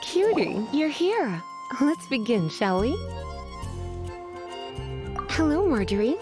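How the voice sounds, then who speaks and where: A woman's voice: high-pitched, sing-song, exaggerated voice. An actress playing a flirtatious, languid female librarian in a video game, teasing and coy, with a hint of menace. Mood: playful, coy. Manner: teasing, sighing, drawn-out coy delivery. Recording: faint music behind the voice.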